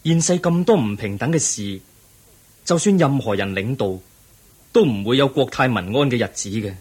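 Radio drama lead: a world-weary young prince, Hong Kong Cantonese accent, markedly husky, reflective and formal. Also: male voice, mid-range voice, lively intonation, normal speed, a little mellow. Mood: calm, resolute. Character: pensive young crown prince, weary of palace pleasures.

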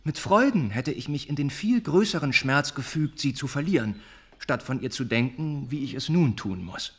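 An earnest young gentleman of a radio play, wounded and reflective. His voice is mid-pitched and husky, and he speaks haltingly, as if confessing something that pains him. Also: animated. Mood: grieving, earnest. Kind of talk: radio drama acting.

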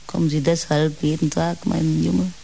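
A woman's voice: low-pitched, level tone, moderate pace, vocal fry, warm tone, slight background noise, poor audio. An actress in a German radio play playing an older, pious housekeeper, blunt, motherly and needling in a dry, folksy way. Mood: earnest. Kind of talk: German radio drama acting.

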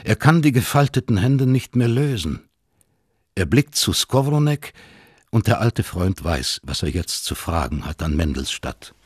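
A male narrator reads literary prose for a radio drama. His voice is fairly low and husky, recorded dry, with deliberate, expressive phrasing. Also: no room sound.

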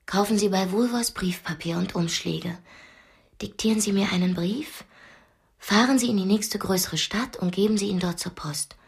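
A young captive woman speaks in an intimate, educated way. Her medium-pitched voice is husky and often breathy, anguished yet defiant, in a very dry studio sound. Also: normal speed, no room sound. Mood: insistent. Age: young adult. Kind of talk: radio drama acting, intimate diary monologue and dialogue.

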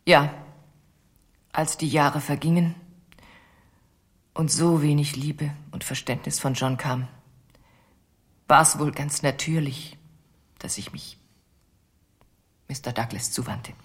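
Radio drama wife: weary, betrayed, halting, low and very breathy. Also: female voice, full character voice. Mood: resigned. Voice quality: breathy, husky. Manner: halting, confessional. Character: weary betrayed wife of a ventriloquist, resigned and bitter. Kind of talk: radio play acting.

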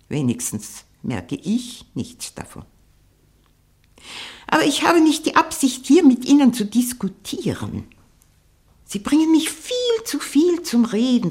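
A woman playing a sharp-tongued, haughty old aunt, frail but proud, in a 1960 German radio play. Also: mid-range voice, full character voice. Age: older. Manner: haughty, mocking, deliberate.

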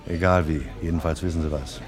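An actor playing a bossy, menacing crook giving curt orders in a German radio crime drama. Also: male voice, very deep voice, fairly even intonation, fairly quick, crowd noise. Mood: commanding. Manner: curt, commanding.

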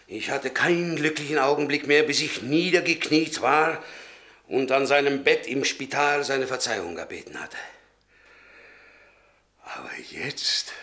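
Slow, fervent, bright-voiced zealot. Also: male voice, medium pitch, heavily acted. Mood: solemn, restrained. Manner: fervent, solemn, preacherly, deliberate. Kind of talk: classic German radio drama acting.

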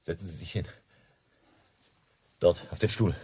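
An actor in a radio drama playing a cold, menacing journalist with a controlled, commanding and contemptuous way of talking. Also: male voice, low voice, unhurried, a little mellow, breathy edge, exaggerated voice. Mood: sharp, commanding. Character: cold menacing German journalist living under false names.

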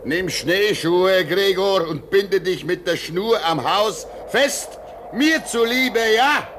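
An actor playing a pious, weary old man at a polar station in a German radio play, speaking solemnly and deliberately. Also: sits high, background noise. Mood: anxious, imploring. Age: older.

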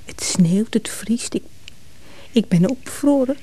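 A woman's voice: fairly high pitch, animated, unhurried, warm tone, whispered. An actress playing an anxious, longing woman who speaks hesitantly and pleadingly in a radio drama. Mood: hurt, wistful. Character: anxious, longing woman clinging to her absent lover. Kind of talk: Dutch radio drama acting.

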